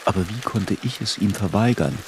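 A man's voice: fairly low pitch, brisk, slight background noise, close to an everyday voice. A man playing an adult narrator in a radio play, looking back on a tormented boyhood in literary, introspective narration. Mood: melancholy, reflective.

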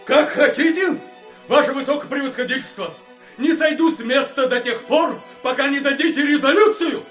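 A starving crippled army captain, high strained-up pitch, pleading and stubborn, bit of music. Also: male voice, normal speed, fairly bright tone. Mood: defiant. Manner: pleading, insistent. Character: desperate, hungry, stubborn crippled war veteran captain. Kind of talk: audio play acting.

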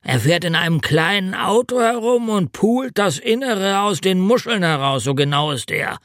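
A man's voice: high voice, lively intonation, moderate pace, a bit bright, no echo, clean studio sound, pitched above the performer's own voice. A man voicing a drunk, sick older road worker who groans, wails and complains in slurred speech. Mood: groaning. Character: hungover, whining older male road worker.

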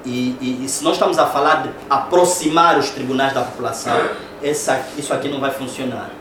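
A man's voice: medium pitch, steady tone, brisk. Angolan male lecturer, chatty tag questions, mic far off in a noisy hall. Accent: Angolan Portuguese. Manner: conversational, rhetorical tag questions. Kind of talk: public lecture. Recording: echoey room, distant mic, noisy.

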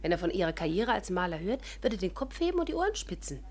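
An actress playing a self-assured, meddling amateur sleuth with a teasing, coaxing manner in a 1950s German radio play. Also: female voice, lowish voice, big pitch swings, quick pace, a little mellow. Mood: persuasive.

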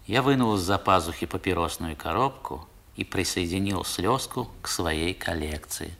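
Casting: deep, measured, reflective narrator for a 1960s literary radio drama. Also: male voice, vocal fry, a bit bright, heavily acted. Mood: wistful, descriptive. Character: reflective grown man recalling his boyhood, narrator.

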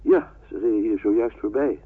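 A man's voice: fairly low pitch, normal speed, dry recording. A man acting a cautious, respectful village lookout in a Dutch wartime radio drama. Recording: muffled, dry, band-limited old radio recording.

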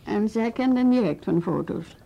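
An actress with a Netherlands Dutch accent playing a sharp, sardonic, questioning police inspector in a naturalistic radio play. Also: female voice, mid-range voice, expressive, brisk, gravelly. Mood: sceptical, probing. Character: sharp, sceptical female police inspector, irritated by office politics. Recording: muffled radio drama recording.

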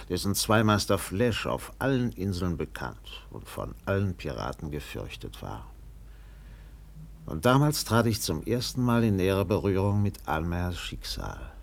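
An actor playing a grave, reflective steamer captain who narrates a radio play with measured, dramatic delivery. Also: male voice, sits low, creaky, full character voice.

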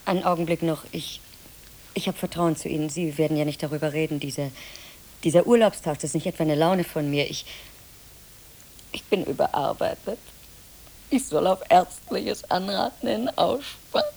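An actress playing a timid, overworked middle-aged office employee in a German radio play, hesitant and pleading. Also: female voice, low-pitched, normal speed, gravelly, mellow. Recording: hissy old radio play recording.

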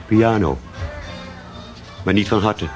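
A man's voice: lowish voice, slow, faint music behind. An actor playing a talkative, cynical barman in a naturalistic radio drama, speaking in a hesitant, rambling way. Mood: reflective, halting. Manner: hesitant, rambling, with self-corrections. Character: talkative café barman, cynical, fond of missing bandmate.

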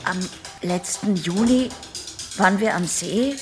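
A woman's voice: mid-range voice, slow, over music, close to an everyday voice. A young woman playing a guarded patient who gives terse answers to a doctor in a German radio play. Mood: matter-of-fact.

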